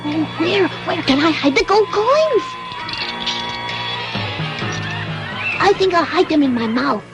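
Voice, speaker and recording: A woman's voice: very high voice, big pitch swings, moderate pace, over loud music, full character voice. A woman voicing a naive, stubborn little wooden puppet boy in a classic cartoon dub, childish and whiny. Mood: fearful.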